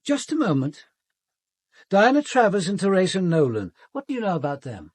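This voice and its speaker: An actor playing a composed, probing senior police detective in a British radio drama, speaking in a measured, formal way. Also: male voice, sits high, wildly swooping pitch, husky, no echo, full character voice. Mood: firm, polite.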